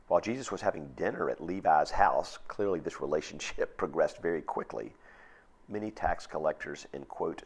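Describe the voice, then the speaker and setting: A man preaching a conversational sermon with a hoarse voice, mixing folksy asides with scripture reading. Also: a bass voice. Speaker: pastor.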